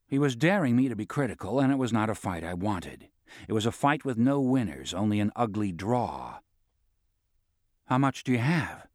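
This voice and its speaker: A man narrating a first-person novel in a wry, measured storytelling manner. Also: on the low side, very animated, dry recording, studio quality. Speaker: audiobook narrator.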